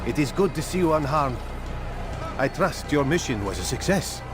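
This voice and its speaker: Clear music runs behind a man voicing an earnest brother assassin in a game cutscene. His voice is fairly high and very animated, courteous and eager. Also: moderate pace, lightly acted. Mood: friendly welcome. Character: earnest, friendly male assassin brother, deferential. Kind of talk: video game cutscene acting.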